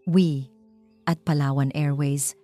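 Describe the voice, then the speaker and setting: Calm, authoritative Filipino businessman played by a female narrator for a romance audio story, mellow and formal. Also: deep voice, soft background music. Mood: solemn, resolute. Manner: measured, formal, emphatic public-speech delivery. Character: confident, commanding male airline CEO, calm and firm.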